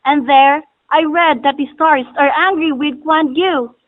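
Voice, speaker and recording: A woman acts as a commanding female soothsayer with a high voice, declaiming solemn prophecy in formal drama lines. The recording is muffled and thin, like a phone. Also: a little slow. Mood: solemn, ominous. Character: solemn wise-woman astrologer, ominous and commanding. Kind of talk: stylized stage drama recital of prophecy.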